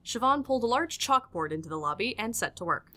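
A woman's voice: fairly high pitch, sing-song, quick pace, bright, forceful tone. A woman narrating a scripted audio drama as its storyteller.

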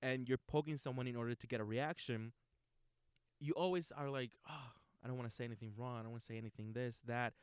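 Smooth-voiced man hosting an acting chat. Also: mid-range voice, fast, no room sound, studio quality. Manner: relaxed, rambling with restarts. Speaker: host. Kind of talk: conversational acting talk.

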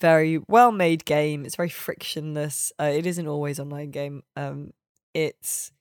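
A woman's voice: low voice, steady tone, normal speed, a little mellow, smooth, no echo, clean studio sound. A woman chatting casually and jokily about video games as a podcast co-host. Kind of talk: casual, jokey video game chat.

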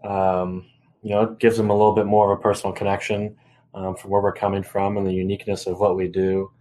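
A man's voice: low-pitched, completely flat delivery, quick pace, warm tone. A young man co-hosting a podcast in casual conversation. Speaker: podcast co-host.

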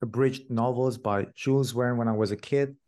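A man in his thirties from Iran, whose first language is Persian, talks with his guest in a relaxed, personal way. His voice sits in an ordinary middle pitch, and he sometimes gropes a little for words. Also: normal speed. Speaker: podcast host. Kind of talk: conversational interview.

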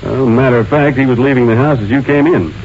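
An actor playing a shrewd, plain-spoken sheriff in an old radio drama, matter-of-fact and deliberate, on a noisy recording. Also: male voice, mid-range voice, a little mellow, dull audio, close to an everyday voice. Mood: pointed, matter-of-fact. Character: plain-spoken, shrewd male county sheriff laying out evidence.

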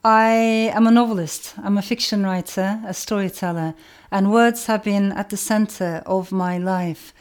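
A middle-aged woman novelist, Turkish as her first language, speaking in a measured, earnest way, repeating phrases for emphasis. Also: mid-range voice, a little mellow. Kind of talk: reflective talk to camera.

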